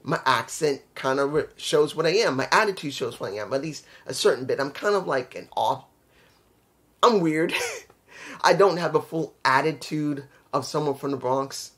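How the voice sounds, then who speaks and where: A man's voice: fairly high pitch, animated, normal speed, fairly bright tone. A man with a New York accent, reviewing a wrestling show in a casual, rambling, blunt way, often repeating himself. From New York City. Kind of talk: casual solo video review.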